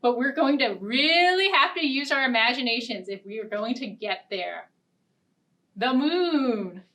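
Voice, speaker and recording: A young American woman hosting a storytime, speaking in an animated way to young children. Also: high voice, a little slow, far from the mic, low-quality recording. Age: young adult. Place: indoor storytime set with backdrop.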